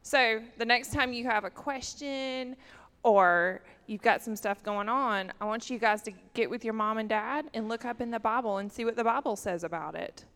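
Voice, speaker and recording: A woman leads a children's sermon, talking into a microphone in a church sanctuary and peppering the kids with questions. Her voice sits a touch high, and a long reverb trails after it. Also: normal speed, fairly bright tone. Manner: chatty, asks children questions.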